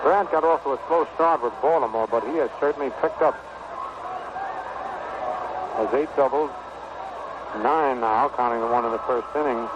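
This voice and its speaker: An American man calling baseball play-by-play in 1960s radio style, in measured, short phrases with pauses. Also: medium pitch. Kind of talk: 1960s radio sports commentary. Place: stadium broadcast booth. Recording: noisy dull vintage 1960 radio broadcast.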